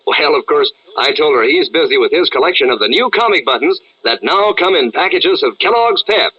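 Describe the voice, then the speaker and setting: A man's voice: fairly high pitch, lively intonation, fairly quick, breathy edge. An American man as a radio commercial announcer, chatty and folksy in a 1940s ad style. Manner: chatty, salesmanlike. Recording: dull vintage radio sound.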